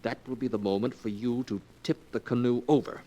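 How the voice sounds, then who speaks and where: A man's voice: medium pitch, steady tone, fairly quick, dark tone, dull audio, close to an everyday voice. An actor playing a scheming young fortune hunter in 1940s radio drama style, coaxing his sweetheart with earnest, melodramatic persuasion. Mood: coldly persuasive. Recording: noisy vintage 1940s radio recording.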